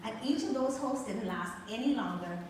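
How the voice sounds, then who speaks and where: A woman with a Bahamian accent and a fairly high voice delivers a motivational speech from a stage. She speaks emphatically, drawing out key words. The sound is very echoey and a little distant, with clear background noise. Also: expressive, normal speed, darkish tone. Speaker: motivational speaker and show host. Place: large venue on stage with live audience.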